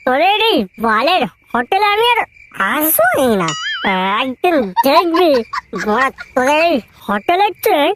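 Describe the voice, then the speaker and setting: A man's voice: very high-pitched, sing-song, a little slow, heavy background noise, full character voice. A man voicing a boastful, foul-mouthed drunk in a comic cartoon, with slurred, rambling speech. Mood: angry boasting. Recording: music behind the cartoon voice.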